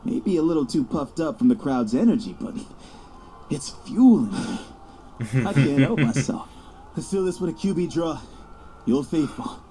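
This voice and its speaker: A man's voice: on the high side, sing-song, normal speed, warm tone, a little hiss or hum. An actor playing a young star quarterback, cocky yet anxious, narrating his own story in an audio drama. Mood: confident, charged.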